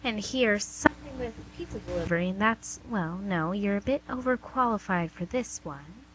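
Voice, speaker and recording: A woman's voice: medium pitch, animated, normal speed, a little hiss or hum, lightly acted, rough recording. A woman playing a prim guidance counselor, polite but dryly skeptical, in an amateur comedic radio play. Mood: dryly exasperated. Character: prim, exasperated female student guidance counselor.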